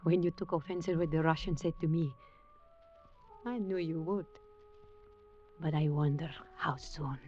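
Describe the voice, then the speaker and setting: A mysterious, seductive adventuress in a 1940s radio melodrama. She speaks slowly, in formal, exotic phrases full of flattery. The vintage recording is dull, with background noise. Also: deep voice, soft background music. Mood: admiring. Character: seductive, bold, mysterious woman of the African coast.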